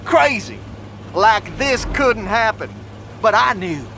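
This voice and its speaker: A man's voice: high voice, lively intonation, slow pace, vocal fry, bright, forceful tone, exaggerated voice. An actor playing a cocky, boastful middle-aged survivalist talking folksily to his own camera in an audio drama, swearing freely. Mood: smug, vindicated. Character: cocky middle-aged male doomsday prepper driving an armored truck. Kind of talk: self-recorded video monologue in audio drama. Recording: noisy inside a moving vehicle. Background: vehicle engine and road noise.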